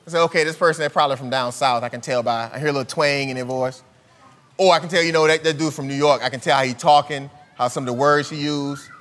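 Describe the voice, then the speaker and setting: A man's voice: medium pitch, fairly even intonation, fast, fairly bright tone, in a large hall. A man preaching a sermon, conversational and full of rhetorical questions to the congregation.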